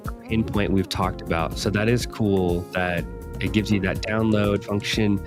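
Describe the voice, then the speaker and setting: A relaxed male co-host with a lowish voice. He asks curious follow-up questions in an easy, conversational tone. Music plays clearly behind him. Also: normal speed. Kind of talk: casual chat. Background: music playing.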